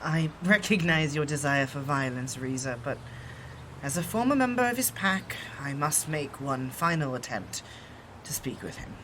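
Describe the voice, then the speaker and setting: An actor playing a dignified exiled shifter who speaks in formal, measured, old-fashioned phrases. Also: male voice, fairly high pitch, lively intonation, fairly bright tone, a little hiss or hum. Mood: solemn.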